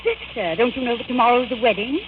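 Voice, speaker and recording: A woman's voice: on the high side, fast, close to an everyday voice. Woman playing a prim society mother, tinny radio. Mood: fretful, surprised. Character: proper, genteel, fretful society mother. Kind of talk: 1940s radio comedy acting. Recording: tinny, noisy vintage radio recording.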